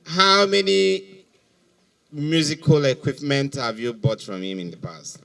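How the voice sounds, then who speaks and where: Authoritative Nigerian police officer questioning. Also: male voice, fairly high pitch, unhurried, creaky, a bit bright, distant mic. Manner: authoritative, probing. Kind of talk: public interrogation at a press briefing. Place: outdoors in a police compound. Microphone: handheld microphone.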